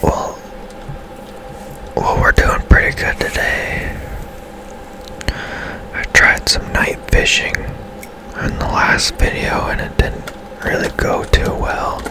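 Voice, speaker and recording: A man speaks entirely in a breathy, unvoiced whisper. He hosts ASMR gameplay with casual, halting commentary, taking his time at a very slow, unhurried pace. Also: low-quality recording. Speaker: gaming channel host.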